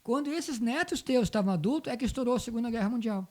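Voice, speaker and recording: Mellow, animated southern Brazilian man. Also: very high-pitched, fast. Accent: southern Brazilian, Rio Grande do Sul. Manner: emphatic, repeats phrases for effect. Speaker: interview guest, folk dance group coordinator. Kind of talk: conversational storytelling.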